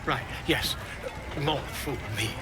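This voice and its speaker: An actor playing a flamboyant, self-important bard in a video game, with a grandiloquent theatrical manner. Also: male voice, mid-range voice, slow pace, heavy background noise, natural voice. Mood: flustered, nervous. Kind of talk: video game dramatic acting. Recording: music behind the voice. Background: music.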